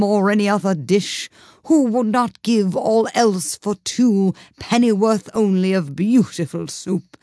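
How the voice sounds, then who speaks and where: A woman reading aloud and voicing the Mock Turtle, a sobbing, melancholy old male creature who sings slowly and mournfully. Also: mid-range voice, slightly rough, no echo, studio quality, pitched above the performer's own voice. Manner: slow, wailing, drawn-out singing.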